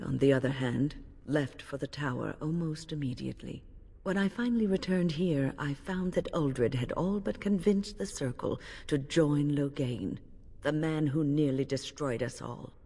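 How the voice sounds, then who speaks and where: A woman playing an older mage in a fantasy video game, grave, weary and composed, telling things in a measured way. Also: low voice, gravelly, warm tone, slightly rough, in a large hall, heavily acted.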